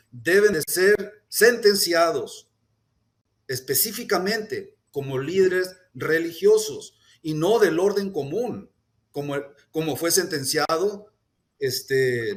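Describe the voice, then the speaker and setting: A man speaking earnestly at a press conference, deliberate, with hesitations and restarts. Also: fairly high pitch. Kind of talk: formal public statement.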